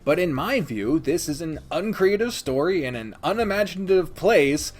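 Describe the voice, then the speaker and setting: Animated, blunt man reviewing games. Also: fairly high pitch, moderate pace, soft background music. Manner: blunt, emphatic, sweary, exasperated. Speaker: video host reviewing games. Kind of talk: opinionated, casual ranked review commentary.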